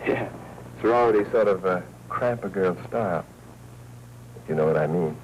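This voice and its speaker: An American actor playing a wry, probing newspaper reporter in clipped 1940s film noir style. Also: male voice, low voice, moderate pace, close to an everyday voice. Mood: breezy, wry. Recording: muffled, noisy 1940s film soundtrack.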